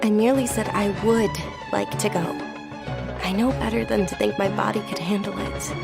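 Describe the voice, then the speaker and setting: A woman voicing a frail, gentle young noblewoman who speaks softly and sighs often, in game dialogue. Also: medium pitch, fairly even intonation, normal speed, creaky, natural voice. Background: loud game music.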